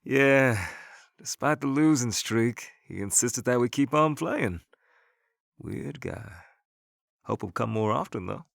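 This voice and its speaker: A man voicing an easygoing young casino dealer in an animated series, casual and offhand. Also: medium pitch, slow, creaky. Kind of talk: animated web series voice acting.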